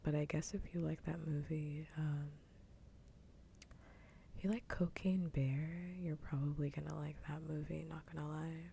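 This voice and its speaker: Soft whispering woman, low and creaky. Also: little pitch movement, a little hiss or hum. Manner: casual, sweary, unhurried rambling. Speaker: ASMR livestream host. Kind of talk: whispered ASMR casual chat. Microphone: close ASMR microphone.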